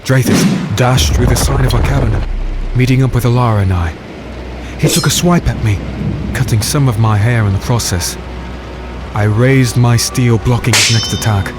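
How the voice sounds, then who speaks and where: A man's voice: medium pitch, moderate pace, natural voice. A man playing a defiant swordsman hero in a fantasy audio drama, intense and dramatic as he fights and tells his tale. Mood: tense narration. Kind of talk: dramatic first-person narration and dialogue, fantasy audio drama. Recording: music behind the voice.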